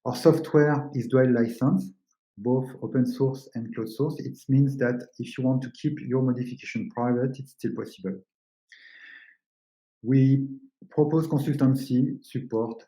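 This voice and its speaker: A man giving a technical webinar presentation, explaining things in slightly halting English. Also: medium pitch, warm tone. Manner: explanatory, halting non-native English phrasing. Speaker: webinar presenter, company co-founder. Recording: roomy, echoing sound.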